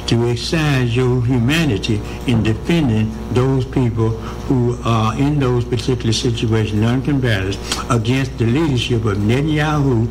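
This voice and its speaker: A man speaking as a guest on a radio interview, halting and repeating himself, with many fillers. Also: medium pitch, noisy background.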